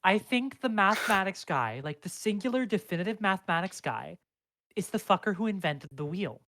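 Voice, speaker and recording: A young adult woman in casual chat, tossing in playful, deliberately silly takes. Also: mid-range voice, animated, fairly bright tone, dry recording. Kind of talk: casual chat among players.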